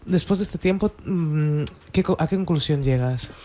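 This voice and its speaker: A man's voice: a little high, moderate pace, darkish tone. A man whose first language is Portuguese, hosting a radio interview and speaking in a reflective way, often voicing agreement. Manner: reflective, with frequent back-channel agreement.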